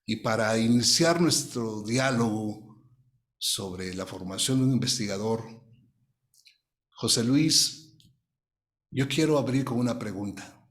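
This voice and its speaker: A man speaks as an interview host at a middling pitch. His delivery is formal and courteous. He phrases things in a measured, deliberate way. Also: animated.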